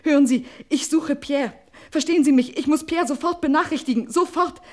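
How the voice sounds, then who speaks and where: An actress playing an anxious, pleading wife in an old German radio crime play, agitated and urgent, often breaking off mid-sentence. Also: female voice, sits high, fairly quick, lightly acted. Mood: urgent, frightened. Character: anxious, pleading wife desperate to save her husband.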